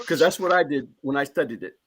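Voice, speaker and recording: Earnest, higher-voiced man on a panel. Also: fast, a bit bright, a little hiss or hum. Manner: earnest and emphatic, repeats phrases while building a point. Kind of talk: live panel discussion.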